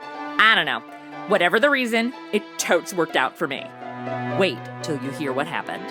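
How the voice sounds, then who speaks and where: A woman's voice: on the low side, sing-song, normal speed, over loud music. A woman playing a flighty, slangy young modern American in an audio comedy, blunt and easily rattled. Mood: breezy, chatty. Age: young adult. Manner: casual valley-girl phrasing, exasperated and blunt. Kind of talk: comedy audio drama acting.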